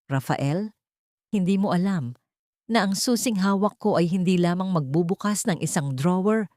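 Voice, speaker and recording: A woman's voice: lowish voice, mellow, no room sound, studio quality, lightly acted. A woman voicing a humble but coldly determined woman, resolute and accusing, in an audiobook.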